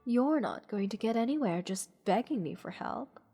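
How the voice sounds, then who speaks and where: A woman playing a haughty, bored goddess in a roleplay audio drama, condescending and teasing in a languid, breathy delivery. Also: on the high side, expressive, normal speed, warm tone. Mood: bored, weary. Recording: long reverb, music underneath. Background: orchestral music.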